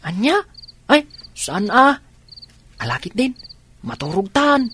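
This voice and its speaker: A woman's voice: a little high, sing-song, takes it slowly, fairly bright tone, slight background noise, heavily acted. A woman voicing a startled, playful young boy in an Ilocano radio drama. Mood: surprised, playful.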